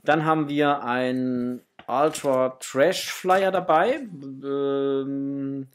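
A man's voice: medium pitch, drawn-out words, smooth. A man in his thirties or forties chatting casually and affectionately to viewers as the host of an unboxing vlog. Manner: effusive, affectionate toward viewers. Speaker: host of a toy unboxing channel. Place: small indoor home room.

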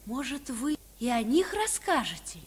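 A woman's voice: sits high, very animated, measured pace, slightly rough, heavily acted. A woman playing an eager, curious schoolboy in an old children's radio play. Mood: doubtful, pleading. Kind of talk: mid-century Soviet radio drama acting. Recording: old archival radio recording.

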